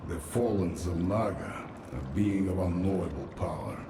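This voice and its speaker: An actor playing a world-weary, loyal soldier in a video game, gravelly, wry and deliberate. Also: male voice, low voice. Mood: wry resolve. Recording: echoey, noisy game ambience.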